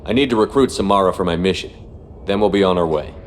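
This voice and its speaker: Actor as blunt male soldier, low voice, quick delivery, self-assured, game dialogue in a reverberant space. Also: a little hiss or hum, natural voice. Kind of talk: video game voice acting.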